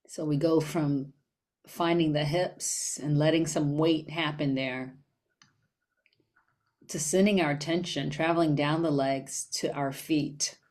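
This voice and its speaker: Slow, low-voiced woman guiding meditation. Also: steady tone. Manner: gentle, unhurried, with long pauses. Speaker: guided meditation leader. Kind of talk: guided meditation.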